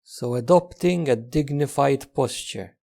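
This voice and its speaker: A man leading a guided meditation in a gentle, unhurried manner, leaving long pauses between instructions. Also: mid-range voice, gravelly.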